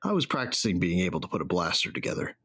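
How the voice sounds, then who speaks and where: Deep husky guy a grieving sci-fi bounty hunter telling memories, bitter n reflective, audio drama. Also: sing-song, fast, fairly bright tone, no room sound, full character voice. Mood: wistful. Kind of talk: first-person audio drama narration.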